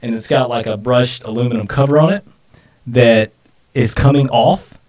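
A man in his forties co-hosts a live stream in loose, casual conversation. His voice is medium-pitched and sits a little back from the desk microphone. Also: moderate pace, a little mellow, far from the mic, poor audio.

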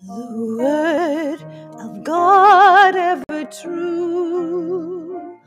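High-voiced woman singing church psalms. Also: very slow. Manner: sings while playing a grand piano. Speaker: music minister. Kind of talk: sung liturgical psalm and hymn. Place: large church. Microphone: mic on the piano. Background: her own piano accompaniment.

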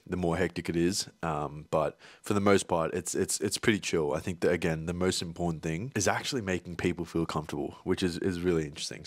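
Young male vlogger, low voice, dry close sound, loose rambling delivery full of ums. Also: dry recording. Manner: rambling, many fillers and restarts. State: hay fever. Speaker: vlogger and videographer. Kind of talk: casual vlog talk.

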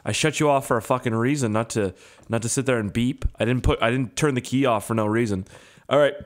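A young man with a hoarse, strained voice giving casual, profane, self-mocking commentary while playing a game. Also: medium pitch, quick pace. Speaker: gaming YouTuber. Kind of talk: casual let's-play commentary.

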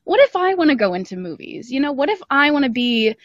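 A woman's voice: high voice, very animated, fast, no room sound. Young woman, chatty podcast guest, self-deprecating, casual, dry and slightly muffled call audio. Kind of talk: casual interview chat. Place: dry room, calling in remotely. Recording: slightly dull, band-limited call audio.

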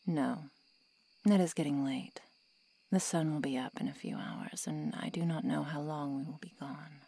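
A woman playing a protective, coolly menacing vampire seductress in a hushed, deliberate, coaxing ASMR roleplay whisper. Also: low voice, steady tone, creaky, slightly rough, heavily acted.

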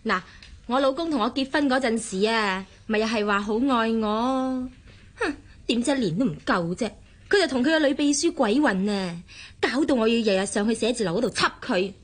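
A woman's voice: fairly high pitch, animated, normal speed. An actress playing a bossy, suspicious married woman meddling in a friend's marriage in a radio drama, with a Hong Kong Cantonese accent. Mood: bitter, warning.

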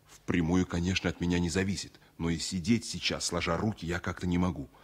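Low, husky earnest engineer. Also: male voice, fairly even intonation, brisk. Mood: anxious, determined. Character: earnest, anxious engineer in love. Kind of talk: 1970s Soviet radio play acting.

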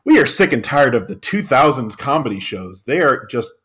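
A man's voice: medium pitch, sing-song, moderate pace, a bit bright. A man reading aloud for comedy and chatting casually, playful and stumbling a bit over his lines. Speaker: guest reader on a comedy podcast.

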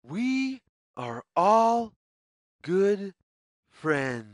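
Very slow, sing-song children's story reader. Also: mid-range voice, very animated, no echo, studio quality. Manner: slow, deliberate, phrase-by-phrase sing-song reading. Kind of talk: children's read-aloud story narration.

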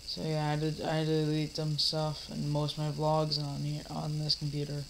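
Gravelly teen boy with a cold. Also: a little high, completely flat delivery, normal speed, noisy background. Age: teens. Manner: rambling, lots of fillers and restarts; mock-scolds an imaginary heckler. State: coming down with a cold. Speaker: vlogger. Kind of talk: casual rambling vlog. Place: dim living room, seated in a recliner.

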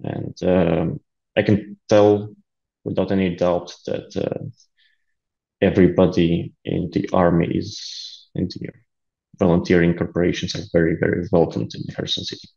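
A man's voice: low-pitched, flat delivery, unhurried, vocal fry, dark tone, lo-fi sound. A young man with a Latvian Russian accent, speaking earnestly on a video call interview, with many ums and uhs. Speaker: interview guest, aid volunteer. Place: small room indoors. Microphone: laptop camera video call.

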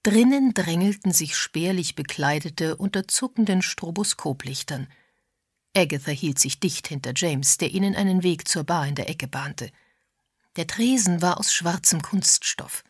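Audiobook narrator: woman, low register, dry studio-like sound. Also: lively intonation, unhurried, husky, no echo. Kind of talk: scripted literary narration with acted dialogue.

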